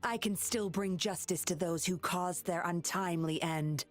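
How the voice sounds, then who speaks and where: An actress playing a mysterious former patroller in a video game, composed, firm and solemn. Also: female voice, fairly low pitch, normal speed, soft background music, close to an everyday voice. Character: mysterious, resolute former patroller woman, cool and secretive. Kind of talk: dramatic video game voice acting.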